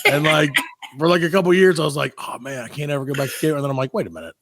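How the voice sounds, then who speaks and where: Male podcast co-host, casual comedic storyteller. Very varied intonation, creaky edge, slangy and animated. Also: on the high side, racing. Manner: animated slangy storytelling, acts out quoted remarks.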